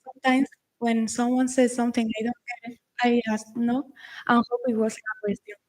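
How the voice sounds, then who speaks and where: A young woman from Spain, an exchange student giving a prepared speech and now and then halting to find her words. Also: on the high side, little pitch movement, darkish tone, low-quality recording. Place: school awards ceremony.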